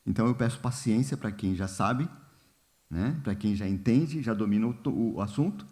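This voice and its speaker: A man leading a church Bible study in a relaxed, informal way, often pausing with fillers and asking the congregation questions. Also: fairly low pitch. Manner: unhurried, many fillers and "né?", asks the congregation questions. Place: church hall.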